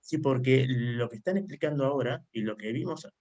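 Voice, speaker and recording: A man's voice: mid-range voice, a little fast, darkish tone, lo-fi sound. A man from Argentina with an Argentine accent, speaking as a panelist in an online panel discussion. He explains his points at length and often checks in with a questioning "¿sí?". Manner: frequent questioning "¿sí?" tags, explanatory. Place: remote online conference.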